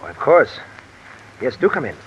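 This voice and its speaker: A man playing a guarded, polite, smooth-talking crooked assistant in 1950s radio mystery style. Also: medium pitch, moderate pace, dull audio, close to an everyday voice. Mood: guarded, evasive. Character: guarded, smooth-talking embezzling male assistant under pressure. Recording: old radio recording with steady rain noise. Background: rain falling.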